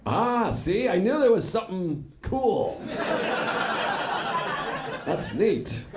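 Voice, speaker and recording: A man's voice: high-pitched, very animated, slow. A man doing stand-up crowd work, teasing the audience and laughing through his lines on a club stage. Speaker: stand-up comedian. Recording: echoey club with loud crowd noise.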